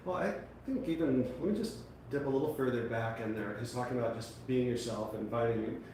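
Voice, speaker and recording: A man's voice: medium pitch, brisk, darkish tone. A man hosting an interview, asking rambling, hesitant questions full of fillers. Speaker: podcast host. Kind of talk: casual interview. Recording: distant echoey mic with background noise.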